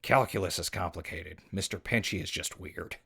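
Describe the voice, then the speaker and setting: A man playing a gruff, wry leader of a wasteland crew, gravelly-voiced, in a post-apocalyptic audio drama. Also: low-pitched, normal speed, bright, forceful tone, full character voice. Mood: dry, incredulous.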